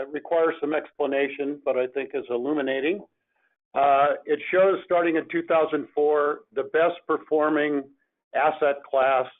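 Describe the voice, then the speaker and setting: An older man giving an explanatory financial presentation as investment chair, measured and halting, going step by step. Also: medium pitch. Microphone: phone or webinar call. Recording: narrow tinny phone line.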